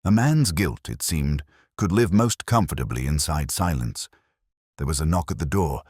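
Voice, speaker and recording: Brooding detective narrator male actor for audio mystery: bottomless bass, solemn and measured, expressive melody, dry studio sound. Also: breathy edge, no room sound, heavily acted. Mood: haunted, grave. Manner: solemn, reflective, measured short phrases. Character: melancholy, brooding male English detective inspector narrating his case. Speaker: first-person narrator.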